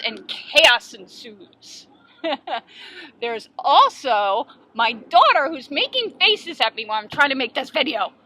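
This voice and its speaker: A woman's voice: high voice, sharp tone. A woman, a children's book author, talking through writing tips in a playful, self-deprecating way at home. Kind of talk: casual tips video.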